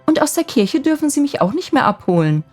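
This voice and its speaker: A storybook young girl in love, played by a woman narrator, with a high, lively voice. She pleads coyly and a little breathlessly, teasing and tender yet easily flustered. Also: brisk, soft background music. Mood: coy, indignant.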